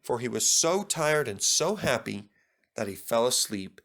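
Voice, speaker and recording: A man narrates a children's storybook at an unhurried, slow pace. His delivery is very animated, rising and falling widely in pitch as a storyteller reading from a script.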